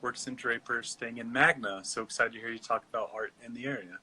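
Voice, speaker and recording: An American man hosting a casual interview, encouraging and a little halting in his phrasing. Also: medium pitch, bright, forceful tone, husky, low-quality recording. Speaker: show host, interviewer. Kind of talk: casual chat.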